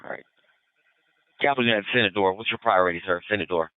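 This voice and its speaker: A man's voice: lowish voice, quick pace, no room sound. A man working as a police dispatcher, speaking in clipped, procedural exchanges over a two-way radio. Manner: procedural, clipped call-and-response. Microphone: radio transmitter. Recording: muffled, band-limited police radio transmission.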